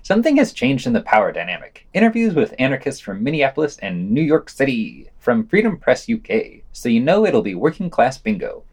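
A man's voice: medium pitch, wildly swooping pitch, a little fast, hardly any pauses, a little mellow, husky. A man reading a scripted podcast news digest and quotes, with wry, sardonic commentary.

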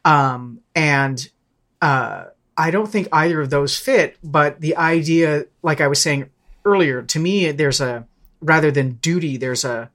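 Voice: medium pitch, unhurried, fairly bright tone. A podcast participant with a mid-range voice talks casually and reflectively. They think aloud, feeling for the right words and often restarting a phrase to correct it.

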